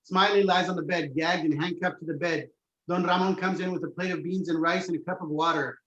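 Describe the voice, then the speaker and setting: Higher-pitched man narrating in echoey room. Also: fairly even intonation, brisk, a bit bright, dull audio. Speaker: narrator reading stage directions. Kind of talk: scripted narration, screenplay table read. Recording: roomy, echoing home recording.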